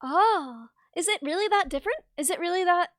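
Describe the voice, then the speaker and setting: A woman's voice: extremely high pitch, expressive, brisk, a bit bright, no room sound, clean studio sound. A young woman hosting a live soldering stream, bubbly and laughing often as she explains her work step by step.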